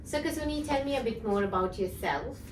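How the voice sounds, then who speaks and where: A woman's voice: on the high side, expressive, brisk, slight background noise. A woman hosting a casual company interview, encouraging her guest with frequent "yeah". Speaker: host, company CEO. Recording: echoey room, mic at a distance.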